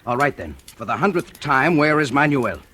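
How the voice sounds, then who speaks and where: An actor playing a blunt, impatient police chief in a 1940s radio drama, pressing with clipped questions. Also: male voice, mid-range voice, very animated, normal speed, pushed and bright, breathy edge, full character voice. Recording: noisy old radio broadcast.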